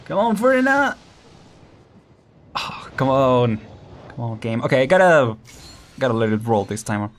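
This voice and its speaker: A man's voice: mid-range voice, very animated, measured pace, pauses often. A young man casually commenting while streaming a game, chatty and laughing often, counting down as he plays. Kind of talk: casual live gameplay commentary.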